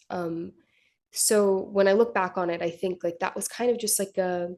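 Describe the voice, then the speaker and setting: Young actress, relaxed filler-heavy chat, lowish voice. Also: female voice, gravelly. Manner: casual, chatty, filler-heavy. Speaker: actor. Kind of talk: press junket interview.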